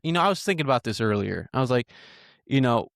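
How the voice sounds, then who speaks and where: A man's voice: medium pitch, lively intonation, quick pace, vocal fry, a bit bright, dry recording, clean studio sound. A man in his twenties hosting a podcast, talking casually and hesitantly, often restarting his sentences. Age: 20s. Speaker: podcast host.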